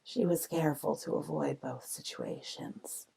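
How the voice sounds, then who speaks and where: A woman narrates a radio mystery story from a script. Her voice is very low and heavily creaky, sinking into breathy tones as she tells the tale. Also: huge pitch swings, measured pace, warm tone, low-quality recording.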